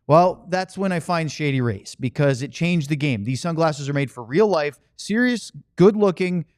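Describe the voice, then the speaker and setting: A man's voice: fairly high pitch, expressive, moderate pace, a little mellow, no room sound, studio quality. A man hosting a sports podcast, moving through segues and sponsor reads in a casual conversational style. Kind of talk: casual ad read and show hosting.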